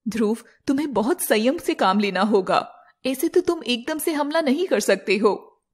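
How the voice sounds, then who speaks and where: A woman voicing a caring, protective young heroine in an animated fairy tale, over a noisy background. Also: fairly high pitch, normal speed, lightly acted. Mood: cautioning.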